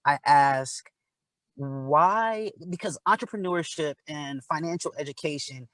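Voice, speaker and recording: A man's voice: fairly high pitch, measured pace, fairly bright tone. A man moderating a town hall, asking long questions in a casual, encouraging way.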